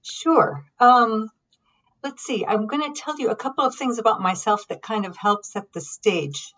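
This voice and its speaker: A middle-aged woman from Lebanon, a podcast guest, telling her story calmly and reflectively with frequent pauses and ums. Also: on the high side, low-quality recording. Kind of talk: conversational storytelling.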